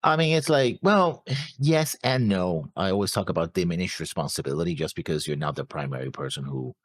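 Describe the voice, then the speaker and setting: Low voiced guy co-hosting a chat, really dry sound, hedges and qualifies what he says. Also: no echo. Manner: hedging, conceding, measured qualifications. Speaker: reaction show co-host. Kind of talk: casual discussion.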